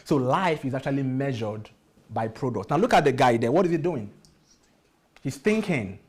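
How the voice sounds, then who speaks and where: A man's voice: mid-range voice, lively intonation, normal speed, a little mellow, husky. A man speaking at a motivational workshop, emphatic, repeating key phrases and putting rhetorical questions to his audience.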